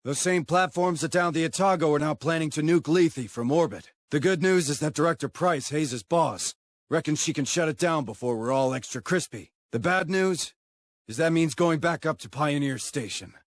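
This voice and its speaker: An actor playing a grim, wry military commander briefing troops in a video game, in a matter-of-fact way. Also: male voice, on the high side, normal speed, no room sound, lightly acted.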